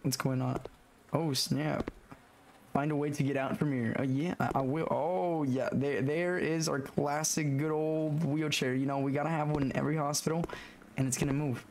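A young man with a hoarse, raspy voice from being sick, talking through a horror game and reacting to scares. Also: a little high, flat delivery, normal speed, a little mellow, slight background noise. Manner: jumpy, swearing, talks to himself while playing. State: hoarse from illness. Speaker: gaming YouTuber. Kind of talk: horror game let's play commentary. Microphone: headset.

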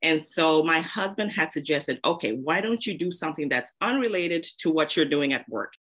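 A woman's voice: low-pitched, animated, moderate pace, fairly bright tone. A woman from Zambia, a podcast guest, telling her story casually and laughing often.

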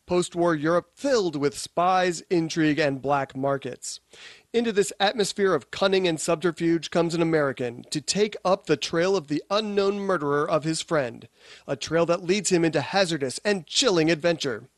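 Man as a polished, higher-voiced 1950s radio host. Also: moderate pace, dry recording, natural voice. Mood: polished, genial. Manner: formal, polished showmanship. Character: polished male Hollywood radio producer-host. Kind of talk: 1950s radio hosting, scripted.

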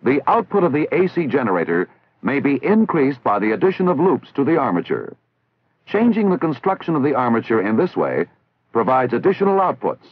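Casting: American man for vintage army instructional narration, measured and didactic with animated intonation, through tinny old film audio. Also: medium pitch, slightly rough. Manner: formal, measured, didactic enunciation. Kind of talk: scripted instructional narration, 1960s military training film. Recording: tinny, band-limited 1960s film soundtrack.

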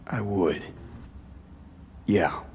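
A tough, loyal young mercenary from a video game talks in a low register. He keeps his answers short and guarded. Faint ambient noise runs underneath. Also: drawn-out words, a little mellow. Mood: earnest.

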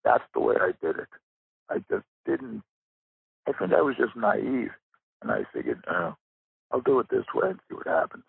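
A man with a raspy, gravelly voice giving reflective interview answers as a guest writer, pausing for emphasis. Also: on the low side, wildly swooping pitch, dry recording. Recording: muffled narrow phone-like line.

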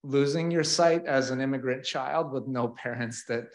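A man's voice: mid-range voice, normal speed. A man from Ukraine in his thirties, speaking on a panel into a handheld mic in a large event space, chatty and self-deprecating, laughing between points. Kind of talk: panel talk.

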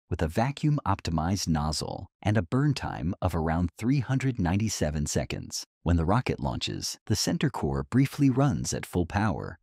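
A man's voice: sits low, creaky, a little mellow, breathy edge, no room sound, clean studio sound. A man reading a scripted explainer narration in a casual, conversational tone.

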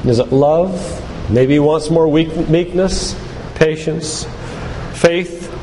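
A man's voice: a little high, takes it slowly, mellow. An older man giving an emphatic, preacherly church lecture, putting rhetorical questions to his listeners. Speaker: physician giving a faith-based lecture. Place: church, speaking to a congregation. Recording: echoey church hall with background noise.

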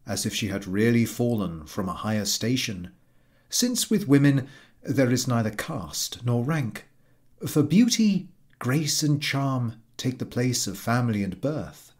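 A man narrating a literary story as an audiobook reader, measured and expressive. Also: mid-range voice.

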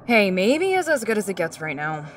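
An actress playing a sarcastic, deadpan teenage student in an audio drama. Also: female voice, mid-range voice, normal speed, slight background noise, natural voice. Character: student Janet, dry and sarcastic teenage girl.

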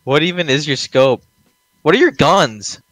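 A man's voice: a little high, expressive, unhurried, a bit bright, dry recording. A man teasing and joking with his teammate while spectating a game, laughing often. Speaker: gamer teammate. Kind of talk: casual gameplay chat. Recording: dry close sound with game music behind.

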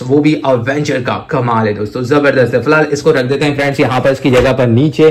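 Guy doing a toy showcase, kinda high voice, super enthusiastic, keeps gushing to viewers. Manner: excitable, repetitive exclamations of amazement. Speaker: toy review presenter.

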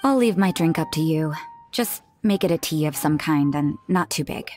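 Game nun: low voice, dry, deadpan, aloof and weary, soft music underneath. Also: female voice, moderate pace. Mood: dry, unimpressed. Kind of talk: video game cutscene acting.

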